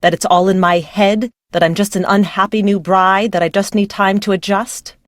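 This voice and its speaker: A woman's voice: mid-range voice, steady tone, normal speed, dry recording, studio quality, close to an everyday voice. A young woman playing a secretive, fearful young bride in a video game, speaking in a weary, brooding, dramatic way. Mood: guarded, sarcastic.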